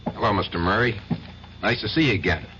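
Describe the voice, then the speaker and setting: A man's voice: lowish voice, a little fast, lightly acted. An actor playing an indignant, slippery male mobster with an accusing manner, in an old-time radio drama. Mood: cold, accusing. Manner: aggrieved, accusing. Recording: dull, noisy old radio recording.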